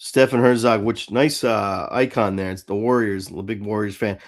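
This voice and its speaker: A man co-hosting a podcast in casual fan chat, halting and interrupting himself, with some mild swearing. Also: on the low side, steady tone. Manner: halting, self-interrupting, mildly profane. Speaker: podcast co-host.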